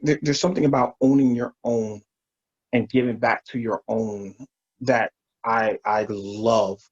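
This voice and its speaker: Husky man, from Albany, relaxed storyteller, muffled speakerphone call. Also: mid-range voice, unhurried. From Albany, New York. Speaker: interview guest, veteran. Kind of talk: casual storytelling. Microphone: phone on speaker.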